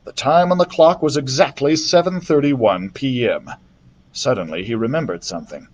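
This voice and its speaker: A man's voice: mid-range voice, moderate pace, darkish tone, dull audio. A man reading a children's book aloud as a librarian storyteller, friendly and chatty, into a built-in laptop mic.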